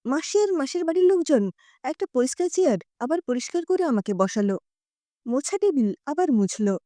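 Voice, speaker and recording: Woman, high voice, very animated pitch, mellow tone, dry close sound, expressive story narration. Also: brisk, dry recording.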